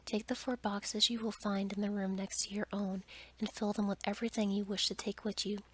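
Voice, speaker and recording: Woman whispering as a sorrowful, gentle beast. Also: medium pitch, flat delivery, brisk, slightly rough. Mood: solemn, sorrowful. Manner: solemn, earnest, softly whispered. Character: gentle, sorrowful male beast, devoted and pleading. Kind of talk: whispered ASMR storytelling.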